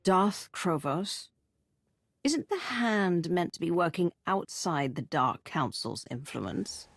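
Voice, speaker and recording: An actress playing a cool, commanding Sith commander in a video game, direct and controlled. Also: female voice, fairly low pitch, animated, takes it slowly, no echo, full character voice.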